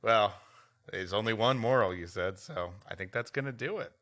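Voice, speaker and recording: A man's voice: on the low side, normal speed, fairly bright tone. A man co-hosting a comedic livestream podcast, chatting casually with playful mock enthusiasm. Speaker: podcast co-host.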